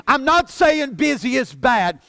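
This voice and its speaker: A man of forty preaching a sermon as pastor, earnest, often repeating and stumbling over words, dropping soft for emphasis. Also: very high voice, very animated, unhurried, vocal fry, no echo, studio quality. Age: 40s.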